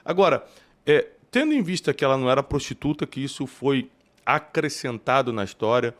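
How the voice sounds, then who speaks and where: A man with a carioca Brazilian accent hosts the conversation, his pitch swinging widely as he talks. He asks open questions and thinks out loud as he goes. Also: brisk. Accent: Carioca Brazilian Portuguese. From Rio de Janeiro, Brazil. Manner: animated, questioning, thinking aloud. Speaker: podcast host and theologian. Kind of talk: conversational interview.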